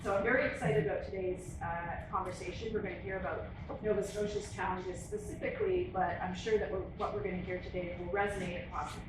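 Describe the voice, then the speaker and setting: A woman's voice: mid-range voice, fairly even intonation, fast. A woman heading a policy institute, giving earnest opening remarks at a university panel with frequent restarts. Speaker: institute president and CEO. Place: university lecture room. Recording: distant, very echoey hall with noise.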